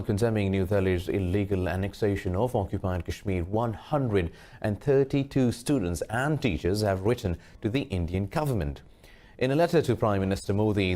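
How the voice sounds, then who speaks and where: A man reading a scripted news bulletin as anchor, formal and measured. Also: on the low side, warm tone.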